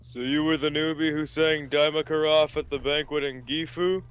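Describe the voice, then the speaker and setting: A man playing a loud, zealous office manager in an amateur story reading. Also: on the high side, steady tone, normal speed, clear, resonant tone, highs cut off, lightly acted. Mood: loud, blustering. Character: loud, zealous male office manager, superhero show fan.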